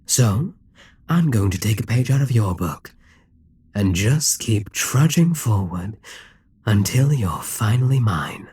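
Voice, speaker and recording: Guy doing a smug demon king for asmr, dark mellow husky voice, really swoops around, coaxing and theatrical. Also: lowish voice, animated, takes it slowly, exaggerated voice. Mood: patient, menacing. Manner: smug, coaxing, theatrical, often dropping to whispers. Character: dominant, gloating male Demon King toying with a captive hero. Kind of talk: slow, intimate ASMR roleplay acting.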